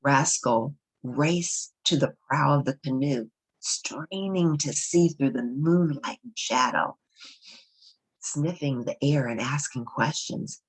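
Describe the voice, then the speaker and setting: A woman's voice: extremely low pitch, slow, breathy edge, low-quality recording. A woman reading a children's novel aloud as a storybook narrator. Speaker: storybook reader.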